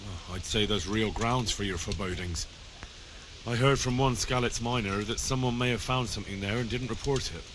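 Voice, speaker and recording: A man's voice: fairly low pitch, a little fast, background noise, lightly acted. An actor playing a dutiful, inquisitive young medieval man-at-arms in a video game, plain-spoken and deferential. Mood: earnest, dutiful. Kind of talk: scripted video game dialogue. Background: music.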